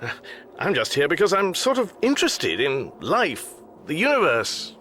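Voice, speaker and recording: A man's voice: on the high side, huge pitch swings, normal speed, bright, forceful tone, slight background noise, exaggerated voice. An actor playing an anxious, bewildered Englishman adrift in space in a radio comedy, dryly and politely exasperated, with an English accent. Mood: awkwardly polite. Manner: flustered, dry, politely exasperated. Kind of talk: radio comedy drama acting.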